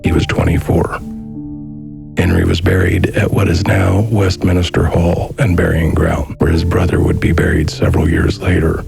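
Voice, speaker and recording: A man reading a scripted documentary narration in a measured, formal manner. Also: sits low, little pitch movement, gravelly. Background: music.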